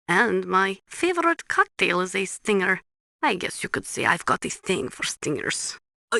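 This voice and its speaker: A blunt female military analyst in a video game. Her voice is bright and forceful at a middling pitch, recorded very dry. She gives precise, matter-of-fact instruction like a weapons expert. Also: no room sound, full character voice. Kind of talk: video game radio briefing.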